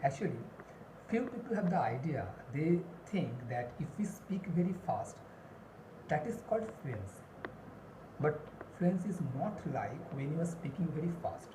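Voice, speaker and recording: A woman teaching spoken English in a deliberate, instructional way, repeating key phrases for emphasis. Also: deep voice, sing-song. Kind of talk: instructional lesson with numbered points and examples. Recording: roomy echo, clear background noise.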